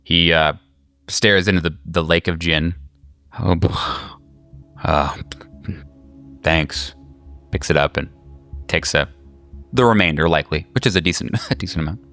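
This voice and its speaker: A man acting as game master, improvising descriptive horror narration in a halting, casual way. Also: low voice. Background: soft music.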